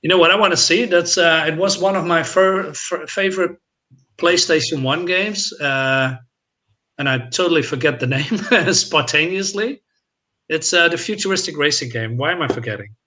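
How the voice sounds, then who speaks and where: A man's voice: fairly high pitch, moderate pace, sharp tone. A man chatting casually as a podcast co-host, enthusiastic and swearing freely. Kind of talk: casual chat.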